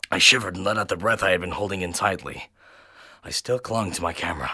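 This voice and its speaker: A man reading a first-person horror story in an intense, theatrical manner that swings between hushed and loud. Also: low voice, no echo, studio quality. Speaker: narrator and reader of a horror story.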